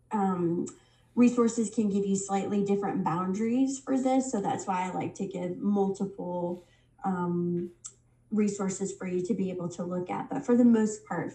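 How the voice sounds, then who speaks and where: A woman getting over a head cold teaches a Bible study in a casual, halting way, with plenty of ums. Her voice is mellow and dark. She sits back from the mic, and the room rings around her. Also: medium pitch, reverberant, distant mic, poor audio. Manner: unhurried, many ums and restarts.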